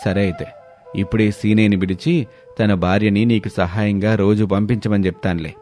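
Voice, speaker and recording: A man playing a kind, patient village landlord in a storybook tale, with measured, authoritative delivery. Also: lowish voice, fairly even intonation, darkish tone, faint music behind, natural voice. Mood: explaining. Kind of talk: storybook voice acting.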